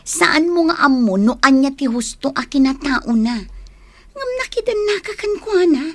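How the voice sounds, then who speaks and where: A woman's voice: sits high, very animated, moderate pace, dry recording. An actress playing a woman who scolds and advises her best friend in a radio drama. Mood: chiding. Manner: chiding, advising.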